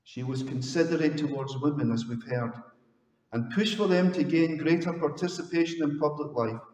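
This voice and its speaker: An older man, a minister from Scotland, preaching a slow, solemn sermon with measured pauses into a headset mic in a large stone church. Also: fairly high pitch, a little mellow, distant mic. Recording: echoey church hall from afar.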